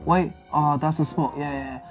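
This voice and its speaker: A man's voice: on the high side, fairly even intonation, normal speed. Cheeky man bantering over music. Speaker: prank show presenter posing as drive-thru worker. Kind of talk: bantering prank improvisation. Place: inside a parked panel van. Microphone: handheld or stand microphone with headset.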